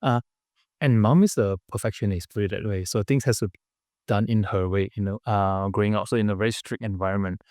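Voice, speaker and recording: A man from Malaysia telling his story as a podcast guest, earnest and halting, with many fillers and restarts. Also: fairly low pitch, fairly even intonation, a little mellow, dry recording, clean studio sound. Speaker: podcast guest, business founder. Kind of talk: casual interview storytelling.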